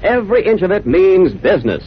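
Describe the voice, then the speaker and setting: A man's voice: sits high, sing-song, fairly quick, a little hiss or hum. A man announcing a 1940s radio sponsor message with emphatic salesmanship. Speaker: commercial announcer. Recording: dull vintage radio recording.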